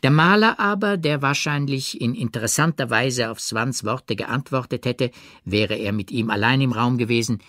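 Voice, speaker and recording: A man reads literary prose as an audiobook narrator. His voice sits at a middle pitch, with measured, expressive and precise phrasing. The recording is close and dry. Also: dry recording.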